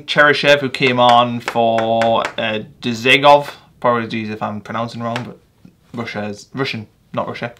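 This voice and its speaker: A man's voice: medium pitch, sharp tone. A young man with a North East English accent, giving a casual, rambling football match reaction with fillers and self-corrections. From England. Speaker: football fan vlogger.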